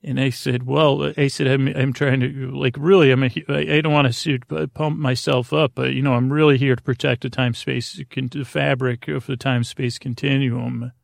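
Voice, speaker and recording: A middle-aged man hosting a bedtime sleep podcast, rambling and halting, self-deprecating and trailing off mid-sentence. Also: medium pitch, flat delivery, gravelly, no room sound, clean studio sound. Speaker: podcast host.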